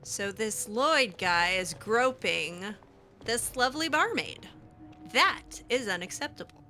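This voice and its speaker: A woman's voice: a little high, lively intonation, slow pace, bright, forceful tone. A woman playing a role-play video game, talking casually in character with a playful, sassy, assertive manner. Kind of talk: casual in-character gameplay commentary.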